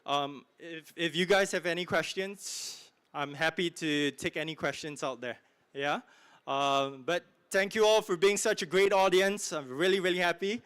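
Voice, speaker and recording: A man giving a keynote sales pitch in a conference hall, pushy and full of fillers, asking "right?" over and over. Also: moderate pace, a bit bright, clear, resonant tone. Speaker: keynote speaker. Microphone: handheld mic.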